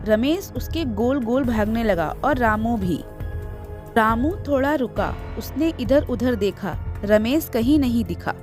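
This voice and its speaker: Lady narrator for a kids story, bit high pitched, scripted read, music under it. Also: lively intonation, unhurried. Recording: music behind the voice.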